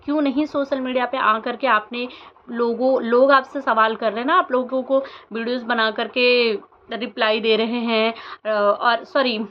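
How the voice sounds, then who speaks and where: A woman's voice: on the high side, fairly even intonation, moderate pace. Earnest young woman asking rhetorical questions. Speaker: vlogger. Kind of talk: emotional commentary to camera. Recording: dull lo-fi sound with faint background noise.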